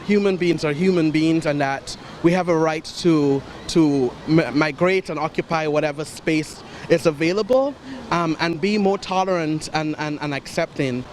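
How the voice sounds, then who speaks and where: A man answering a street interview in a measured, reflective way with frequent ums. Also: fairly high pitch, a little hiss or hum.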